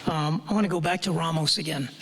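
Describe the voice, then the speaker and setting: A man's voice: a little high, fast, a bit bright, a little hiss or hum. A man on a review board who is losing his voice, asking careful questions at a public meeting.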